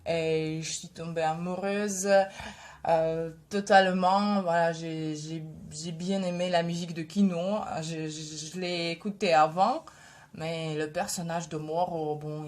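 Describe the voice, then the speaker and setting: A young woman whose first language is Russian talks on camera with a head cold. She speaks slowly, with a somewhat low voice and a lot of hesitation. She breaks off often with filler sounds. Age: 20s. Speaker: vlogger. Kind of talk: casual video talk.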